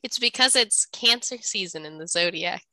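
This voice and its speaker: A woman's voice: on the low side, expressive, normal speed, bright, forceful tone, no room sound, rough recording. A young adult woman with a slightly congested, nasal voice, chatting casually and jokily as a podcast co-host in a self-deprecating way. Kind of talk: casual banter between siblings.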